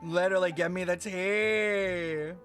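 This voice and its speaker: A man's voice: sits high, steady tone, takes it slowly, fairly bright tone. A man streaming a video game, giving casual live commentary as he plays. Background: game music.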